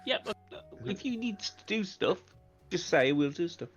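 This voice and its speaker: A man's voice: high-pitched, big pitch swings, moderate pace, breathy edge, lo-fi sound, soft background music. A man chatting casually as a player in a tabletop game. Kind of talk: casual chat.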